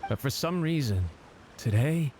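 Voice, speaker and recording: An actor playing a nervous, deferential young clan retainer, stammering and apologetic, in an anime game. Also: male voice, mid-range voice, animated, unhurried, a little mellow, a little hiss or hum, close to an everyday voice. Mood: worried. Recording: faint music behind.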